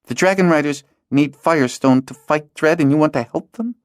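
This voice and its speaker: A frustrated young girl pleads in a high, drawn-out voice, as a male audiobook narrator puts her on. Her pitch swings wildly, leaping toward a shriek. The sound is dry and close. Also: very animated, no room sound. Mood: exasperated.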